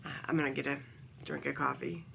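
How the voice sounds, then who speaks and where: A woman in her forties, hosting her own channel, talking casually to viewers from home in a croaky morning voice, rambling with many pauses and fillers. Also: a bass voice, little pitch movement, a little hiss or hum. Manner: warm, rambling, many ums. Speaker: channel host. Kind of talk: casual talk to camera.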